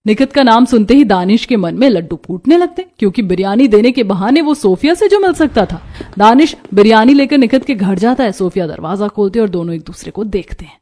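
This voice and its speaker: A woman's voice: medium pitch, animated, fairly quick, dark tone. A woman narrating a scripted cartoon story.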